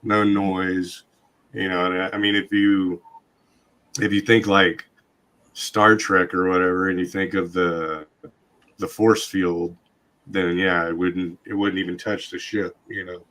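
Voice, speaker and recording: A man hosting a podcast in casual chat, his voice hoarse and scratchy from a long night of talking. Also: low-pitched, little pitch movement, unhurried, fairly bright tone. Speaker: podcast host.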